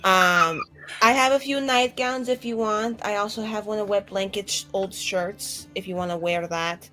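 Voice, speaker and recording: A prim fantasy woman played by a female gamer offers reassurance in a formal, matter-of-fact way. Her pitch is average, in a tabletop game with soft music behind. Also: moderate pace, natural voice, low-quality recording. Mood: prim, reassuring.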